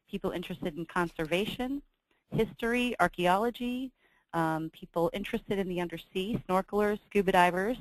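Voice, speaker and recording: Woman running a sales webinar, lowish voice, dry close mic, reads slides with lots of ums. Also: no room sound, poor audio. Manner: reads from slides, brisk and upbeat with filler ums. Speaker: webinar host for a travel company. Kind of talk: scripted sales presentation.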